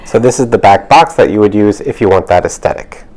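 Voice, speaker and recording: Low-pitched man explaining a product. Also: a little fast, a little mellow. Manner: instructional, step-by-step. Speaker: product demonstrator.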